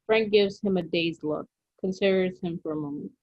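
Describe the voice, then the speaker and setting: A woman narrates a screenplay's action lines in a fairly low voice. The recording is dry and somewhat dull, with little room sound. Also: steady tone, moderate pace, dry recording. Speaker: stage directions reader. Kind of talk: scripted narration, screenplay read aloud.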